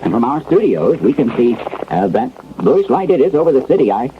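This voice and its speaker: A man's voice: medium pitch, big pitch swings, a little fast, close to an everyday voice. Actor playing a stammering, shaken radio newsman. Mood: grave, uneasy. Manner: stammering, hesitant, fumbling for words, flustered. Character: shaken male radio news anchor reporting alien invasion. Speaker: studio news anchor. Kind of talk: 1970s radio drama, mock breaking news bulletin. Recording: dull, noisy old radio recording.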